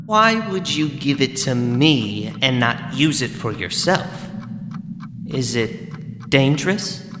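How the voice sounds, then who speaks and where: An actor playing a pampered island mystic who swings between worry and exasperated, panicky outbursts. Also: male voice, mid-range voice, expressive, takes it slowly. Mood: worried. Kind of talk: comedic audio drama acting. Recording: reverberant room with music.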